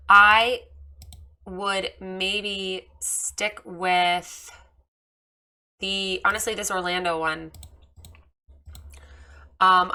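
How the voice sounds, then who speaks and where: A woman with a slightly hoarse voice after losing it, giving a candid, chatty review of a photographer's website with many fillers. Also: mid-range voice, sharp tone. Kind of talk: casual screen-share critique.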